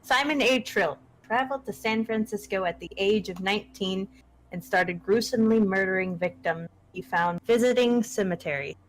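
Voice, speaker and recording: A woman's voice: medium pitch, normal speed, rough recording. A woman reading scripted horror narration in a live table read.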